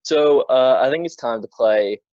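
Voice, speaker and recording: A man's voice: mid-range voice, a little slow, a little mellow, dry recording, highs cut off. A teenage male podcast co-host chatting casually about films in a blunt, sweary way, often repeating words as he goes. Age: teens. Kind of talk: casual film discussion.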